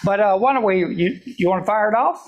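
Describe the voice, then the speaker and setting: A man's voice: high-pitched, brisk, a crowd in the background. A man speaking casually to a men's meeting, teasing the group and asking them questions before starting a video. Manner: chatty, teasing, asks the room questions. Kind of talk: casual joking talk to a live group.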